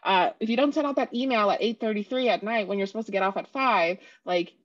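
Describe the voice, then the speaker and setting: A woman's voice: medium pitch, fast. A young woman on a panel over a video call, speaking earnestly and encouragingly, laughing now and then. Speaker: panelist, civic engagement organizer. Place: indoor room on video call. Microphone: headset. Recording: slightly dull video call audio.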